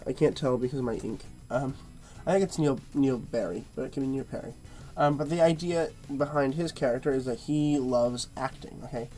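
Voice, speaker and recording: A man's voice: medium pitch, darkish tone. Stuffy-nosed man rambling through a review. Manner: rambling, filler-heavy, repeats words. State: stuffy nose, nasal-sounding. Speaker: movie reviewer. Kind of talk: casual review monologue.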